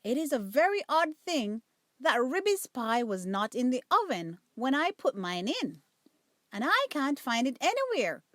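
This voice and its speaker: A woman's voice: sits high, very animated, measured pace, a bit bright, dry recording, clean studio sound, exaggerated voice. A woman voicing an elegant little female dog in a storybook, eagerly talking things over with herself. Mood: puzzled, anxious. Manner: eager, puzzled self-talk.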